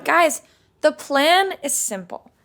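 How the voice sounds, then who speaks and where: A woman's voice: high voice, expressive, takes it slowly, fairly bright tone, slight background noise, heavily acted. A woman playing a dramatic, scheming twenty-something in a podcast sitcom, with a theatrical, exaggerated manner. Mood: exasperated. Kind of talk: sitcom acting.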